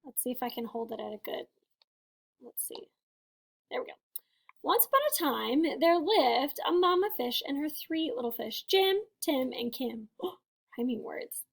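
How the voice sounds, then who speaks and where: High, very animated woman reading to children. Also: measured pace, vocal fry, slightly rough. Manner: expressive, addressing young children with questions. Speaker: first grade teacher. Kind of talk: animated children's read-aloud.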